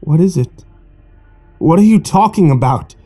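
A desperate father firing off questions about his lost family, his voice pushed noticeably higher and louder than the narration, as a man acts him out. The tone stays dark and mellow, set in an audiobook story with faint music behind. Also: expressive, measured pace. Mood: urgent. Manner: urgent, pleading questions. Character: frantic father searching for his missing family.

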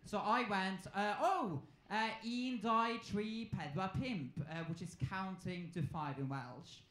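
A man's voice: high voice, takes it slowly, fairly bright tone. A man in his twenties with a Norwegian accent performing stand-up in a conversational way, playfully acting out exchanges. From Norway. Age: 20s. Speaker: stand-up comedian. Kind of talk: live stand-up comedy routine. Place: on stage in a comedy club. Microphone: handheld stage microphone. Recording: echoey live club sound with noise.

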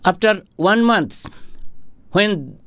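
A middle-aged man from India, a homeopathic doctor, explaining a health topic to camera in a formal, deliberate way, speaking into a studio microphone. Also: high-pitched, lively intonation, a bit bright, no echo. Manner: slow, deliberate, formal explaining with hand gestures. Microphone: studio microphone on a boom arm in front of him.

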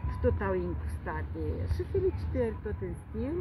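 A woman's voice: fairly high pitch, very animated. An older woman in a park, answering a street interview chattily with many filler sounds. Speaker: passerby interviewed. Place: outdoors in a city park, seated on a bench. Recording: outdoor street noise.

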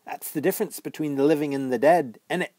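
A man reading an audiobook, voicing a sharp-tongued ghostly witch girl who chides in old-fashioned speech. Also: fairly high pitch, quick pace, dry recording, voice pushed up, close to an everyday voice. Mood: scolding. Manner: chiding, archaic turns of speech.

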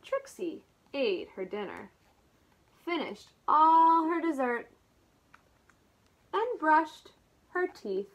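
A woman teacher reading a picture book aloud to small children, expressive, with asides and simple explanations. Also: high-pitched, very slow, long pauses, muffled recording. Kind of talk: animated read-aloud storytelling for small children.

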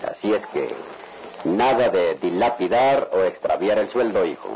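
A man's voice: sits low, lively intonation, measured pace, darkish tone. An actor playing a stern, cautious middle-aged father in a radionovela, lecturing with authority. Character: stern, cautious father with plans for his children. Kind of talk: radionovela acting. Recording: noisy old radio drama recording.

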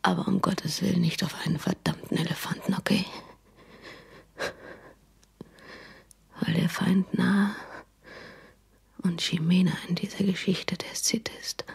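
An actress playing a fierce, loving dying mother in her thirties in a radio drama, whispering weakly and haltingly. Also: lowish voice, fairly even intonation, full character voice. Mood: defiant. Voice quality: breathy, whispering. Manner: weak, halting, defiant.

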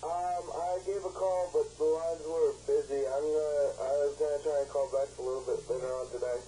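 A man's voice: a little high, fairly even intonation, fairly quick, dark tone. A man chatting casually on a phone call, laid-back and hesitant, sounding unbothered. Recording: faintly noisy, humming phone call over speaker.

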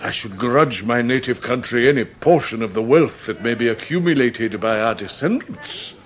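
Guy playing a pompous english gent in a radio play, medium pitch, ceremonious and flowery. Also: animated, moderate pace, natural voice. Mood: indulgent. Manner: orotund, ceremonious, wordy. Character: pompous, genial, florid middle-aged English gentleman. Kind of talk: 1990s radio drama acting.

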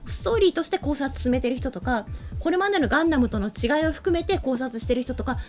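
A young woman co-hosts a casual studio talk show with a high-pitched, very expressive voice. She explains her points in conversational style. Faint music sits behind her. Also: fast talker. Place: small indoor studio set. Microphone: desk microphone. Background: faint background music.

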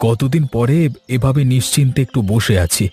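A man plays a tired, prudent village husband in a cartoon story. He explains things in a homely, unhurried way, at middle pitch over faint music. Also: darkish tone, lightly acted. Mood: calm, practical. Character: weary, prudent village vegetable-seller husband. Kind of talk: Bengali cartoon story dialogue.

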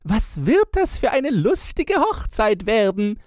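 Man putting on high-pitched mocking young woman. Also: sing-song, takes it slowly, darkish tone, dry recording, clean studio sound, heavily acted. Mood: mocking, gleeful. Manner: taunting, exaggerated sweetness. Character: mocking, teasing vain young elder sisters, female.

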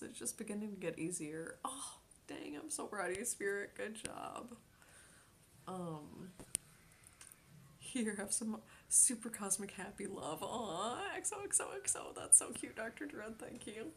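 A young woman in her twenties chatting casually on a live stream from her bedroom, reading chat aloud, giggling often and dropping into soft near-whispers. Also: fairly low pitch, slight background noise. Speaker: Twitch streamer. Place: small bedroom at home.

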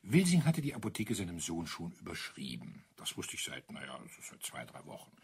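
Older colonel in crime radio play: measured, wry, husky, animated melody. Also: male voice, deep voice, full character voice. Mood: matter-of-fact. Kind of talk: German radio crime drama dialogue.

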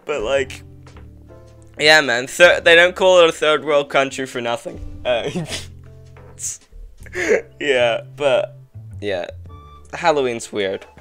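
A man's voice: medium pitch, expressive, slow pace, long pauses, sharp tone, clear, resonant tone, soft background music. A young man from England chatting casually as a podcast guest over a video call, rambling and filling his talk with "like". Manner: rambling, heavy use of "like", frequent laughter. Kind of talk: casual chat.

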